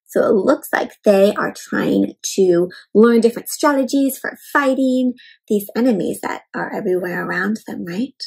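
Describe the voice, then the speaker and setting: A young adult woman reading a picture book aloud to children in an expressive, teacherly way, pausing to explain and ask questions. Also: mid-range voice, creaky, breathy edge, low-quality recording. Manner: expressive, emphatic, teacherly, slowing on key words. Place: indoor room at home.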